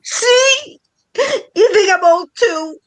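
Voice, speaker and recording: A woman's voice: extremely high pitch, animated, takes it slowly, exaggerated voice. A woman playing a needy, touchy wife who nags dramatically in a staged play reading about domestic life. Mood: upset, tearful. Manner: nagging, dramatic, aggrieved.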